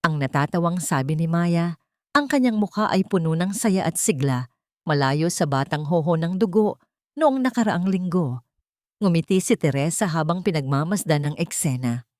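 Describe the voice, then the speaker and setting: A woman's voice: on the low side, animated, moderate pace, no echo, clean studio sound. A woman with a Filipino accent narrating a dramatic story from a script. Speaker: audiobook narrator.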